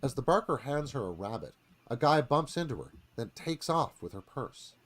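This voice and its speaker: A man's voice: mid-range voice, very animated, normal speed. A man giving a dramatic scripted narration, reading stage directions as narrator.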